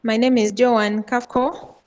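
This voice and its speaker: A woman's voice: a little high, flat delivery, slow, a little mellow. A woman, a student greeting the class courteously on a video call. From Uganda.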